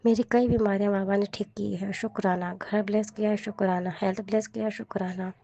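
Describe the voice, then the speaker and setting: A woman with a hoarse, cold-roughened voice, earnestly sharing a devotional testimony and repeating her thanks. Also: mid-range voice, fairly even intonation, fairly quick, mellow, muffled recording.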